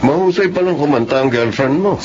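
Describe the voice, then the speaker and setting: A man's voice: fairly high pitch, expressive, a little fast, dull audio, music in the background, natural voice. An actor in a Filipino radio drama playing a caring, reflective doctor who is a friend of his patient. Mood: friendly, reflective.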